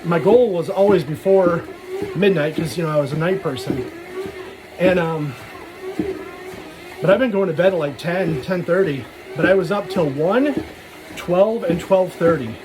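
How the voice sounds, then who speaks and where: A man's voice: high voice, a little slow, pauses often, background noise. A man casually rambling through a personal vlog, dropping self-deprecating, jokey asides. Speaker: vlogger. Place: talking while out walking.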